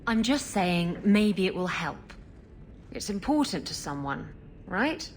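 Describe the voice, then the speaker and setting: Glib, brash pirate rogue. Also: female voice, mid-range voice, very animated, slow, a little hiss or hum. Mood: glib, evasive. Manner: glib, flippant, wheedling. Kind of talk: video game voice acting.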